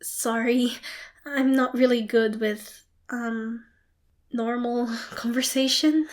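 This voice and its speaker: A young woman playing a shy, nervous, excitable theatre student in an ASMR roleplay, hesitant, flustered and apologetic. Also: on the high side, takes it slowly. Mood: nervous, awkward. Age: young adult. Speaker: amateur voice actor.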